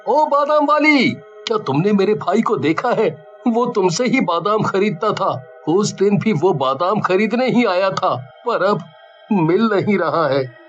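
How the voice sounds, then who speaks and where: A man's voice: high voice, sing-song, slow pace, muffled recording, soft background music, heavily acted. A man playing a worried, determined young brother in a cartoon horror story, earnest and dramatic. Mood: worried, pleading.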